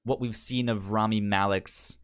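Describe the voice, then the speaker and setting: A man's voice: fairly low pitch, steady tone, moderate pace, a bit bright, clear, resonant tone. A man co-hosting a podcast, chatting casually about films, often stammering and repeating words as he goes. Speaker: podcast co-host. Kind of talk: casual movie discussion.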